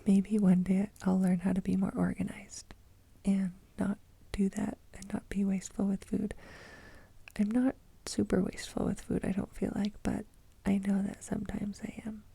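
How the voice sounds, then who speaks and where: A woman's voice: mid-range voice, completely flat delivery, moderate pace, gravelly, mellow, husky, no room sound. A woman in her thirties from the United States, whispering an ASMR story about her kids in a rambling, self-amused way. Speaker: mother telling a story.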